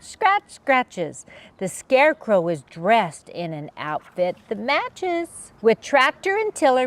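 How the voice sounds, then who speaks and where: Slow, very animated woman storyteller for children. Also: medium pitch. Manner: expressive sing-song rhyming delivery with playful sound effects. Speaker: children's story reader, channel host. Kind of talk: read-aloud storytime for young children.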